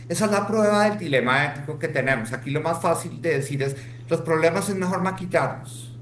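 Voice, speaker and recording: A Colombian man talking with conviction on a podcast, his pitch sitting in an ordinary middle range. He drives his points home and keeps asking "¿sí?". The room has an echo, with a faint hum underneath. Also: a bit bright. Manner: emphatic, rhetorical, repeats "¿sí?". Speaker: guest, former statistics official. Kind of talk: passionate podcast conversation.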